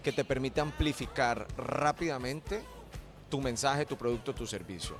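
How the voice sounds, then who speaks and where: A man's voice: mid-range voice, normal speed, faint music behind. A middle-aged man from Colombia with a Colombian accent, a guest in a radio interview, explaining his ideas with sayings and metaphors. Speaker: entrepreneur guest.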